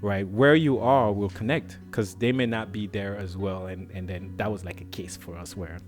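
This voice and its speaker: A man from Cameroon hosting a podcast, telling a personal story in a lively, casual way and acting out the dialogue. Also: normal speed, music in the background. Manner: animated, acts out dialogue with swings in pitch. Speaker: podcast host.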